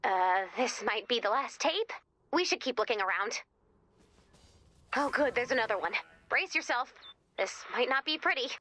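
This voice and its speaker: An eager young video game guide with a high, very lively voice. She is soft-hearted and chatty, speaking with bright, expressive energy as she leads a search. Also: moderate pace, long pauses, soft background music. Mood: wry, concerned.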